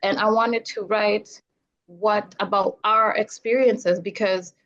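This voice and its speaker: A young adult woman from Zambia speaks as a podcast guest, with a medium-pitched voice. She talks earnestly and picks up energy when she recounts what others said to her. The recording sounds slightly dull and lo-fi. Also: steady tone, slow pace, fairly bright tone. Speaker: filmmaker guest. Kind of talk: podcast interview.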